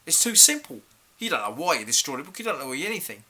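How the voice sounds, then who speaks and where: A man's voice: fairly high pitch, big pitch swings, fast, sharp tone, heavily acted. A male actor playing a stern, exasperated head teacher in a theatrical monologue, accusing a pupil with formal, clipped questions. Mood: pleading, disappointed. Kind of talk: theatrical monologue for an acting showreel.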